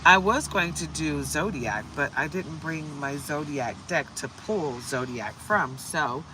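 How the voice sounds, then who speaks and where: A hoarse woman from the United States doing a casual, upbeat tarot livestream and greeting viewers by name. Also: low-pitched, expressive, unhurried, pushed and bright, slight background noise, poor audio. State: hoarse from shouting. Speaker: tarot reader.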